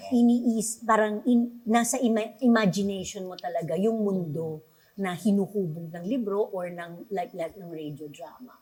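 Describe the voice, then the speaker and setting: A woman's voice: medium pitch, steady tone, moderate pace, warm tone, husky, rough recording. A woman with a Filipino accent hosting an interview in a conversational style. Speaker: interview host.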